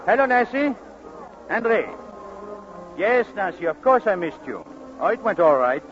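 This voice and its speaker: An actor with a French accent playing a suave, excitable, gallant playwright in 1940s radio comedy style. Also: male voice, sits high, measured pace, soft background music, full character voice. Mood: charming. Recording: dull vintage radio recording.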